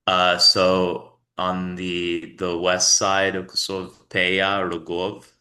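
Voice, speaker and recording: A young man talks as a podcast guest, easygoing and loose, full of "um"s and restarts. His voice sits very low and stays level, with a bright, forceful edge. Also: takes it slowly. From New York, United States. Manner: relaxed, rambling with many fillers and restarts. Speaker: guest, company founder. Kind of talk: casual interview conversation.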